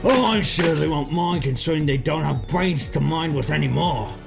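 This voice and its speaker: A creaky high sneaky henchman, mocking, cartoon fan dub, music blasting behind. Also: expressive, normal speed. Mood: sly, mocking. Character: sly sneering villain henchman, mocking schemer. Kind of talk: fan dub villain acting.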